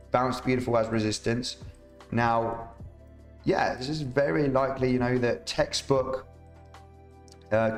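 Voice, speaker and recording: Chatty man with a slightly rough voice. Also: mid-range voice. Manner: chatty, rambling, fillers and restarts, reads viewer comments. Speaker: crypto market analyst streamer. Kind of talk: live chart analysis, casual. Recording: roomy echo, faint music behind.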